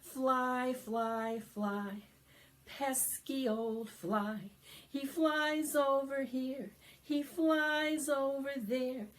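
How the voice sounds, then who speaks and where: A woman presenting storytime for young children, singing and reading aloud in an animated, sing-song way that coaxes them to join in. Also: high voice, drawn-out words, slight background noise. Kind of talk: interactive preschool storytime, singing and read-aloud.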